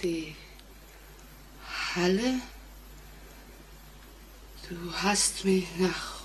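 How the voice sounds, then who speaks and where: An actress playing a terrified, guilt-ridden woman in an old radio play, speaking haltingly with melodramatic anguish. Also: fairly low pitch. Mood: dazed. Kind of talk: 1940s German radio play acting. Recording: noisy old 1949 radio recording.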